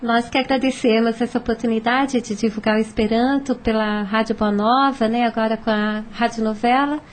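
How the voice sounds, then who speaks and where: A woman's voice: a little high, fairly even intonation, normal speed. A Brazilian woman talking conversationally as a guest on a radio panel.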